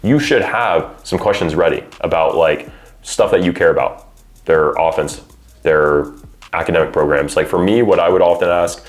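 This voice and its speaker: A man's voice: sits low, normal speed. A man giving conversational recruiting advice as a coach, in an informal way peppered with filler phrases like "you know". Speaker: college football recruiting coach and adviser. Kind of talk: conversational advice, explaining to parents and athletes.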